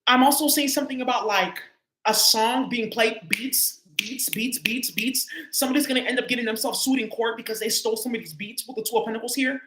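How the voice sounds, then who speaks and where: Casting a woman tarot reader, quick and sharp-toned, slangy and profane, recorded in an echoey room. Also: fairly high pitch. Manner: loose, profane, heavy with filler words. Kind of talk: casual tarot reading to viewers.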